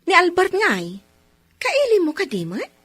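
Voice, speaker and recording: A woman's voice: very high voice, huge pitch swings, a little slow, fairly bright tone, heavily acted. A woman playing a folksy, loyal household nanny in an Ilocano radio drama, exclaiming expressively with an Ilocano accent. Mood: teasing concern. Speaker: voice actor in radio comedy drama.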